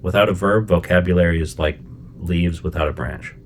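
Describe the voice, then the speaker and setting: A middle-aged man from Chicago explaining a language-learning method in a relaxed, conversational way, with plenty of fillers and check-ins. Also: sits low, brisk, slight background noise. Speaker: language teacher. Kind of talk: casual explanatory lesson.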